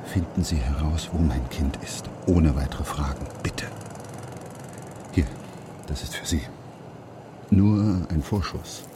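A grave, secretive surgeon and anxious father in a radio crime play. His voice is extremely deep and he talks in terse, halting sentences. Vehicle noise is clearly heard around him. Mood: urgent, secretive. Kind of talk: German radio crime drama acting.

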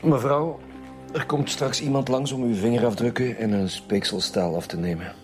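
A man's voice: medium pitch, normal speed, a little mellow, natural voice. A man with a Flemish accent playing a stern, wry lead homicide detective in a radio drama, with a formal, probing manner. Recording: music behind the voice.

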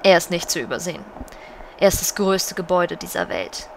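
A woman's voice: on the low side, big pitch swings, a little fast, vocal fry, a little hiss or hum, poor audio. A woman playing a regal, solemn, commanding undead banshee queen in an audio drama, speaking in a stately, formal way. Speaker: voice actor in a parody audio drama.

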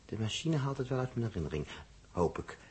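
A man's voice: mid-range voice, very animated, brisk, darkish tone, slightly rough. An actor playing an irritable, sarcastic space crewman with an inventive streak, grumbling and thinking aloud in a 1960s Dutch radio drama. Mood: musing, eager. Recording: noisy 1960s radio recording.

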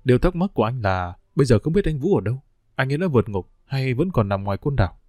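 A man voicing a calm, careful middle-aged husband and father with a measured delivery in a storybook reading. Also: no echo, clean studio sound. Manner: low, measured, steadying.

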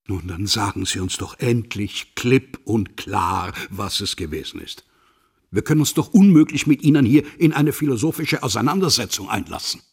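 Radio drama: authoritative headmaster, formal and impatient, lowish husky voice. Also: male voice, expressive, moderate pace, exaggerated voice. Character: stern, impatient male headmaster of a boarding school. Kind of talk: German radio play acting.

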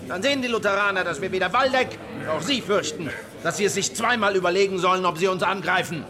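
A man's voice: high voice, fast, crowd noise, lightly acted. An actor playing a wary, battle-ready Anabaptist swordsman, terse and resolute, in a German historical radio drama. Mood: rousing, resolute.

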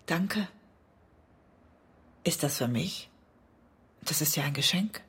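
An actress in a German radio drama playing an anguished woman in love with a self-destructive writer, often speaking in a hushed, breathy way. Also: low voice, animated, slow pace, full character voice. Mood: soft, affectionate. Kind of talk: intimate German radio drama acting, part poetry.

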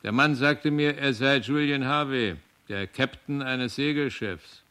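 Sober male commander giving testimony, mid-pitched. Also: unhurried, bright, forceful tone, clean tone. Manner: matter-of-fact, reporting. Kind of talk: radio play testimony.

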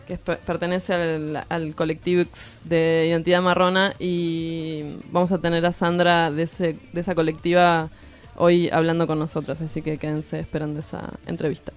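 A woman with an Argentine accent and a fairly low, clear voice. She talks as a radio host in casual, colloquial commentary, leaning hard on key words. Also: little pitch movement, moderate pace, soft background music.

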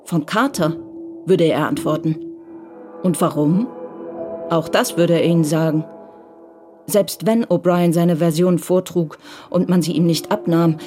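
A woman's voice: on the low side, unhurried, long pauses, lightly acted. A woman giving scripted literary narration in a radio crime drama. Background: music.

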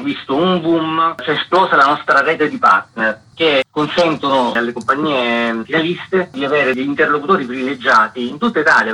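A man's voice: medium pitch, bright, forceful tone, slightly rough, poor audio. A man speaking as an interview guest, giving spontaneous explanatory answers as a festival director.

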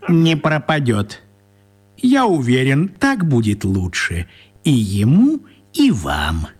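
An actor playing a whimsical, teasing little old wizard man in a radio play, husky and expressive. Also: mid-range voice, takes it slowly, soft background music, full character voice. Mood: smug, explaining.

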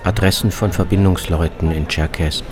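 A man reading a scripted literary radio travelogue as its narrator, in terse, deliberate fragments. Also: deep voice, fairly even intonation. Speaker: author narrating his own journey. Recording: music bed under the voice. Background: music.

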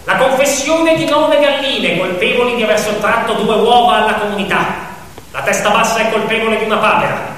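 High-voiced male stage narrator in an echoing hall. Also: a bit bright. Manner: dramatic, declamatory, with repeated phrases and pointed pauses. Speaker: actor-narrator. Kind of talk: theatrical narrative monologue. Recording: very echoey hall from far off, faint noise.

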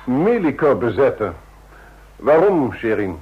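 A measured, husky president. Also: male voice, mid-range voice, sing-song, heavily acted. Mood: questioning. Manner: deliberating, terse, questioning. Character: measured, probing male president weighing advice. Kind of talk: radio drama acting. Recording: dull, lo-fi radio drama recording.

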